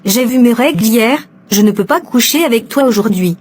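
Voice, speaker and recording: A woman's voice: fairly high pitch, expressive, moderate pace, a little mellow, slight background noise, close to an everyday voice. Woman playing a coaxing, evasive mistress. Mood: cautious, evasive. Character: evasive, money-minded secret mistress, cautious and coaxing. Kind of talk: animated soap drama dialogue.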